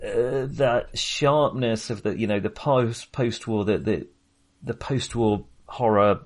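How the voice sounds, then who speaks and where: A man's voice: on the low side, a little slow. A man co-hosting a casual film discussion, rambling and often interrupting himself as he searches for words. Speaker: film podcast co-host.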